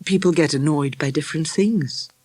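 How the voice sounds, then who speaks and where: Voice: mid-range voice, moderate pace, darkish tone, no echo, natural voice. A reader as an easygoing, breezy mother. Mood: light, breezy. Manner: light, matter-of-fact. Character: easygoing, perceptive mother of a young boy.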